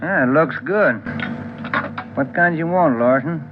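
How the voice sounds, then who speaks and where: A man's voice: on the high side, measured pace, narrow, tinny audio, music in the background, lightly acted. Man as easygoing cop, thin old radio sound. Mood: easygoing, offhand. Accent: American. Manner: casual, offhand. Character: easygoing male police detective, the sergeant's partner. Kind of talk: 1940s radio drama acting.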